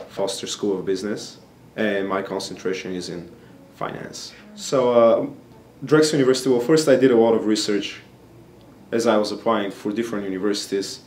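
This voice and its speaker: Earnest young man from Bulgaria, low voice. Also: darkish tone, a little hiss or hum. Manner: earnest, explanatory, with frequent uh fillers. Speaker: graduate student. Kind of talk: interview answers.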